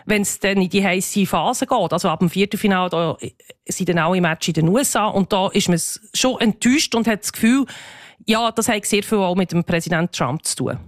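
A woman with a Swiss German accent reports as a correspondent in a radio interview. She works through her answers thoughtfully, pausing on fillers and restarting sentences. The sound is dry and close. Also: mid-range voice, dry recording. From Switzerland. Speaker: foreign correspondent.

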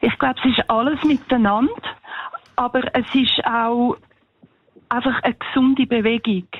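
Swiss german lady chatting as a guest, highish voice, dry muffled radio sound. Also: fairly even intonation, measured pace, slightly rough, no echo. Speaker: interview guest. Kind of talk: casual personal storytelling.

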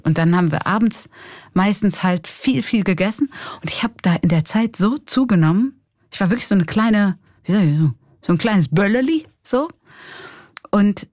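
A woman's voice: on the low side, a little mellow, dry recording, clean studio sound. A Swiss woman in her forties, a clown, speaking candidly and reflectively with a Swiss German accent in an interview. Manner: candid, reflective, tag questions. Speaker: clown and comedian. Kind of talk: personal interview.

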